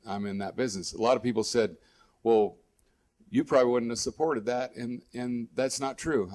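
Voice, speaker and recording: A man's voice: medium pitch, a little mellow. An American man giving unscripted radio interview answers in a plainspoken, folksy way, often repeating and restarting his phrases. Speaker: guest, local political candidate.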